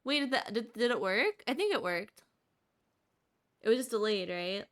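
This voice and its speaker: A woman's voice: a little high, animated, moderate pace. A woman streamer chatting casually to her live audience in a bubbly, giggly way. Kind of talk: casual livestream chat.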